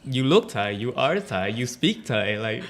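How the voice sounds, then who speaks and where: A man's voice: medium pitch, unhurried. Young guy hosting a podcast, swears a ton, voice jumps all over. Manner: animated, swears a lot, ranting. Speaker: podcast host. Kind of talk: casual podcast chat.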